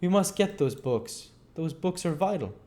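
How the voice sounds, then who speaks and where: A man's voice: a little high, lively intonation, moderate pace, a little mellow, pitched above the performer's own voice, natural voice. An arrogant and bitter fugitive scientist from a storybook, acted out by a man reading aloud. He declaims with indignant emphasis in a voice pushed upward, always volatile and sure of his own genius. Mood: urgent.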